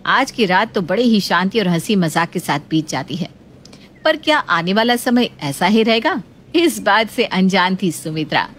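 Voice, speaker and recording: A woman's voice: medium pitch, expressive, moderate pace, fairly bright tone, slight background noise. A woman narrating a scripted family story as a storyteller.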